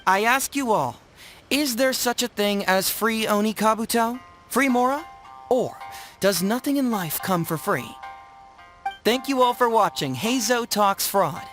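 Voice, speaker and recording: A man voicing a witty, smooth-talking young detective in a video game, articulate and theatrical. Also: extremely high pitch, fairly bright tone, exaggerated voice.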